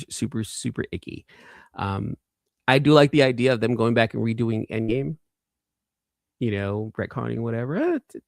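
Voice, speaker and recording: A man's voice: mid-range voice, gravelly, no room sound, clean studio sound. A man hosting a casual livestream, chatting informally and enthusiastically with viewers and often repeating phrases for emphasis. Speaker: livestream host.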